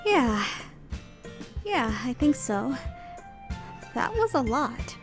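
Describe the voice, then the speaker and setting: A woman's voice: sits high, big pitch swings, extremely slow, over music, full character voice. An actress playing an earnest, smitten young schoolgirl heroine, with wistful narration and dialogue in a visual novel audio drama. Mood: shy, relieved. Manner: bright-hearted, wistful inner narration and dialogue.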